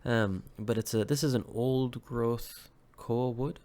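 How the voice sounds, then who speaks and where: A man in his thirties from Hawaii, still a little sick, showing off handmade pieces in a casual vlog, with plenty of ums and uhs and quick self-corrections. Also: mid-range voice. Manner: relaxed, many ums and uhs, corrects himself. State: slightly sick, recovering from illness. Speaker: woodworker and weapon maker. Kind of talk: casual show-and-tell vlog.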